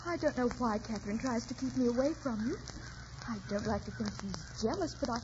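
A genteel, naive young lady with a high voice, played by a woman, polite and a little hesitant. It is old radio drama, muffled and clearly hissy. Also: lively intonation, a little fast, close to an everyday voice. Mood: smitten.

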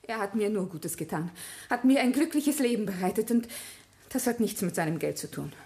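A woman's voice: medium pitch, lively intonation, brisk, a little mellow, close to an everyday voice. An actress playing a resolute, reproachful grown daughter and protective mother in a radio drama, firm and emotional. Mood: pleading. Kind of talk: German radio drama acting.

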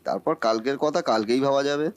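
Guy doing a bumbling show-off cartoon husband, talks to himself all dramatic, medium pitch, really dry sound. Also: fast talker, no room sound, close to an everyday voice. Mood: cocky. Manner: theatrical muttering to himself, self-dramatising. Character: bumbling boastful husband who cannot cook. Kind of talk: comic Bengali cartoon acting.

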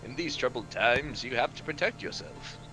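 Man doing a deep-voiced fat game merchant. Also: animated, moderate pace, music in the background, lightly acted, rough recording. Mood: coaxing. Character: fat, jovial travelling merchant pitching his wares.

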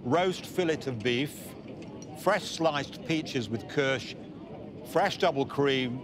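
A man's voice: medium pitch, takes it slowly. An older man recounting and reading aloud in a busy departure lounge. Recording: clear noise of public hall.

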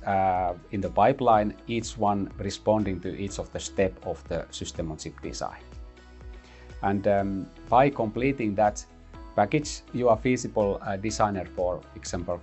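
Middle-aged man, low voice, measured interview talk, faint music bed. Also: darkish tone. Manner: measured, many "uh" pauses. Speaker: university computing head. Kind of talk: interview explanation. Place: office lab with whiteboard, indoors.

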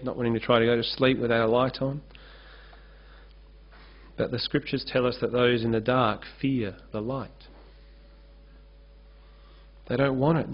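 A man, preaching an earnest sermon at a lectern microphone on a church stage. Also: medium pitch. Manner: earnest, gestures freely while preaching at a lectern. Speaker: pastor. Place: church stage with curtain backdrop. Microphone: lectern-mounted microphone. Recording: dull lo-fi sermon recording.

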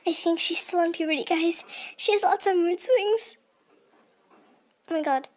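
A woman's voice: extremely high pitch, moderate pace, darkish tone. A young woman giving casual, nervous commentary as she plays a horror game, with an informal, jumpy manner. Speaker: gamer, video creator.

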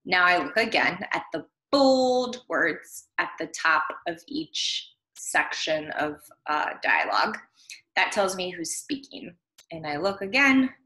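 A woman's voice: lowish voice, big pitch swings, slow pace, pushed and bright. A young American woman, a primary school teacher, explaining a reading task to children in simple steps. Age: young adult. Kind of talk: instructional screen-share lesson for young children. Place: small room at home. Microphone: computer webcam, video recording. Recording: roomy home computer microphone sound.

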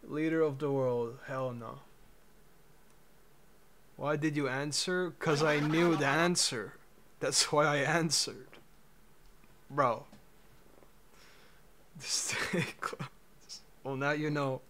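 A man's voice: a little high, fairly even intonation, drawn-out words, pauses often, fairly bright tone, a little hiss or hum. A young man in his twenties, casually chatting with his chat on a livestream through a headset, laid-back and joking. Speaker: streamer.

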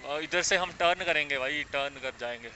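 A young man in his twenties chatting casually and excitedly while riding a scooter, recorded outdoors on a clip-on mic. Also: on the high side, a little fast. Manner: chatty, excited, repeats "bhai" and "yaar". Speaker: motovlogger. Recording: wind and traffic noise, dull lo-fi sound.

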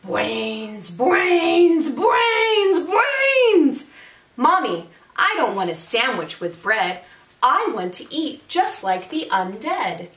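Storybook kid mad about zombies, played by a woman: eager, high-pitched, recorded at a distance from the mic. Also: very animated, very slow, fairly bright tone, a little hiss or hum, full character voice. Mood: zombie craving, then pleading. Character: eager little boy obsessed with becoming a zombie. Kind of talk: storybook read-aloud. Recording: roomy echo, distant microphone.

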